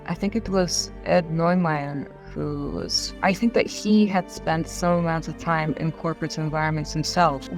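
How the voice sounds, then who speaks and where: A woman from Eastern Europe who works as a filmmaker, talking reflectively in a film discussion. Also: low voice. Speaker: filmmaker, commentator. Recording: music playing behind the voice. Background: background music.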